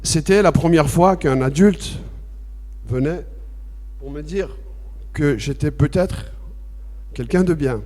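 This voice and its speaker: A man speaking slowly in a somewhat high voice, with a long reverb trailing off the walls of a large hall. He gives a personal testimony at a public debate, halting and repetitive at first. Then he grows impassioned and emphatic. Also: darkish tone. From France.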